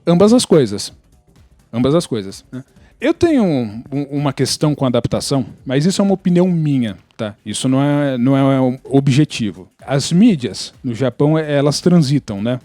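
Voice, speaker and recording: A man's voice: medium pitch, big pitch swings, normal speed, dark tone, no room sound, faint music behind. A man with a Brazilian Portuguese accent explaining his opinions in a casual podcast discussion. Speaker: podcast participant.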